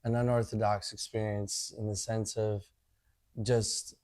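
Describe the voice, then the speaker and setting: A man in his thirties, a podcast guest, talking in a relaxed way and often adding "you know". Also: on the low side, a little slow, no echo. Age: 30s. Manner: laid-back, leans on "you know" a lot. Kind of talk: casual chat.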